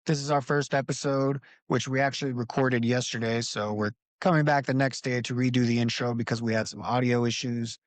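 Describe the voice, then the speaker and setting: A man hosting a casual basketball podcast, talking in a relaxed, conversational way and leading the discussion. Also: mid-range voice, a little fast, hardly any pauses, dry recording. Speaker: podcast host. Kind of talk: casual sports podcast talk. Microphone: home recording setup.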